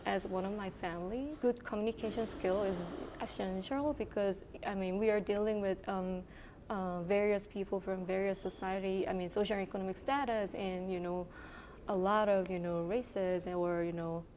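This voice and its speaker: A woman's voice: medium pitch, background noise. A woman in her thirties from South Korea with a Korean accent, a medical resident answering interview questions thoughtfully with many ums. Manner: thoughtful, with frequent ums and you-knows.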